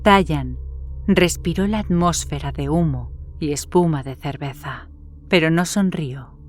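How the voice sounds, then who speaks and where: A woman's voice: low-pitched, unhurried, slight background noise. A woman reading a horror story as a scripted audiobook narration. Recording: background music under the voice. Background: music.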